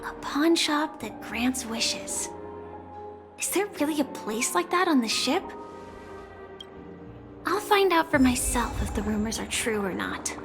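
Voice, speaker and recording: A woman's voice: high voice, animated, measured pace, pauses often. A woman voicing a curious, self-assured young female stowaway in a video game, musing and inquisitive. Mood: curious, pleased. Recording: background music under the voice.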